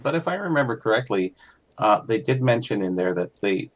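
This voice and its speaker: A man with a fairly low voice, chatting casually as a co-host. He talks easily and sometimes slips into acting out what other people say. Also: lively intonation, a little fast. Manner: chatty, acts out quoted voices. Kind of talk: casual hobby chat.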